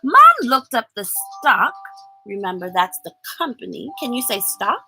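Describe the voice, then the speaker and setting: Woman storytime host for young children, high and very lively, expressive, draws listeners in with questions. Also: a little slow, faint music behind. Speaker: teacher and read-aloud host for children. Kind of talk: animated picture-book read-aloud with audience questions.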